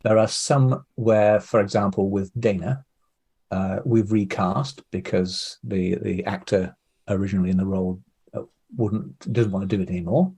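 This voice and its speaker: A man's voice: fairly low pitch, fairly even intonation, normal speed, darkish tone, breathy edge. A man giving hesitant, discursive explanations as the guest in a casual interview. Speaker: interview guest, audio drama producer.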